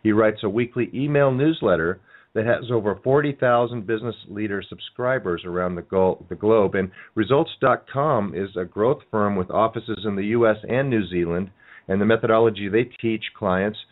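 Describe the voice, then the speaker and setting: A man hosting a radio interview show, asking rambling questions that he often restarts. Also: fairly low pitch. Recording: tinny phone-like sound.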